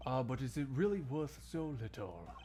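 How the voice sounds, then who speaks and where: A man playing a cool, cerebral investigator with a measured, formal, confident delivery in a game fandub. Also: mid-range voice, very animated, mellow, slight background noise, close to an everyday voice. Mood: composed, pointed. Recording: music behind the voice.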